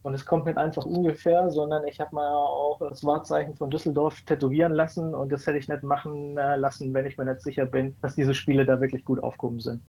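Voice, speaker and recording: A man's voice: a little high, darkish tone, slightly rough, low-quality recording. A man with a Bavarian accent, a team captain speaking earnestly in a panel discussion.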